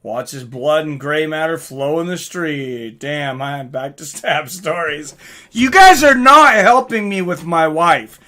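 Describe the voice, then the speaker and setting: Male live fight commentator, high bright voice, informal and excitable, chatty streamer delivery. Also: unhurried. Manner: excitable, informal, swearing, reacting aloud. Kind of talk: live fight commentary mixed with casual chat to viewers.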